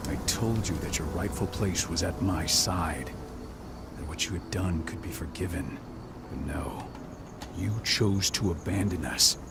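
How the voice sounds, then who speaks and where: An actor playing a gruff, wounded pack leader in a video game, grave and commanding in measured speech. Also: male voice, deep voice, background noise. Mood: stern, bitter. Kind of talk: dramatic video game cutscene acting. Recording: music behind the dialogue. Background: loud music.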